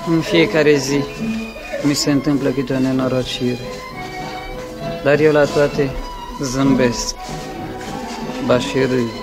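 An actor playing a hapless, self-pitying clerk with a mournful manner in a radio play. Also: male voice, medium pitch, little pitch movement, long pauses, music in the background, close to an everyday voice, poor audio. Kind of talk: radio theatre acting.

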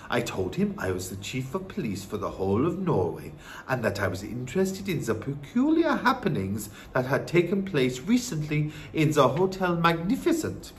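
A man voicing a calm, wise old grandmother in a storybook, speaking softly and reassuringly in a measured, hushed way. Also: fairly high pitch, slight background noise. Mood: calmly knowing.

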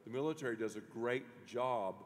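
A middle-aged man, a pastor, interviewing a guest on a church stage in an animated way, with many restarts. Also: medium pitch, moderate pace. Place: large church stage before an audience. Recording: long hall reverb.

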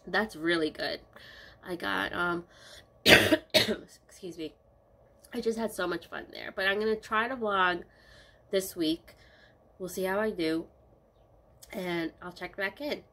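A woman's voice: lowish voice, slow pace, long pauses. A young woman with a stuffy, congested voice from a cold, chatting casually in a rambling vlog from her bedroom. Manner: rambling, many ums, relaxed diary talk. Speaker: vlogger. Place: small bedroom, indoors.

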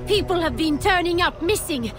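An actress playing an aggrieved townswoman in a video game, speaking earnestly and deliberately. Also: very high voice, lively intonation, over music. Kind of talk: video game cutscene acting.

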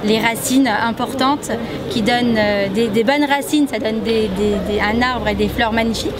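A woman in her thirties presenting her creations in a spontaneous, halting way as an artist being interviewed. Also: on the high side, over loud music. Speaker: painter and perfume creator presenting her work. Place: gallery storefront on a city street. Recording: busy crowd and street noise behind.

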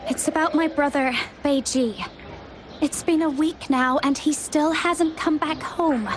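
A woman's voice: high voice, a little slow, slight background noise. A woman playing a worried but plucky young sister in a video game, with an earnest, slightly hesitant manner. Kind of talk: video game character dialogue. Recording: game music behind the voice. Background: game music.

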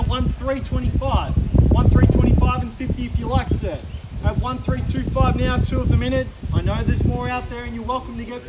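High-voiced Australian auctioneer, street noise. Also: male voice. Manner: brisk persuasive auction patter, repeating the figures. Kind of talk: live auction calling. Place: outdoors on a suburban street in front of a house. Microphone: camera filming from some distance, no mic seen. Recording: distant voice with loud street and traffic noise.

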